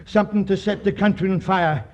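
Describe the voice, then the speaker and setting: An actor playing an anxious, urgent Hollywood studio boss in a 1940s radio comedy, earnest and pressing. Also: male voice, high voice, fairly even intonation, brisk, darkish tone, natural voice. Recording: dull lo-fi 1940s radio broadcast.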